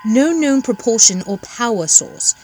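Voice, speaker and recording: A woman's voice: on the high side, expressive, measured pace, faint music behind. A woman playing a curious, eager starship engineer in a sci-fi audio drama, informal and inquisitive.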